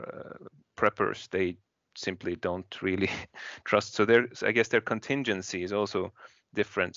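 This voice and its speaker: Norwegian man, low voice, reflective seminar contributor, dry but dull video-call sound. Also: creaky, fairly bright tone, dry recording. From Norway. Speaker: research seminar participant. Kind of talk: spoken discussion comment.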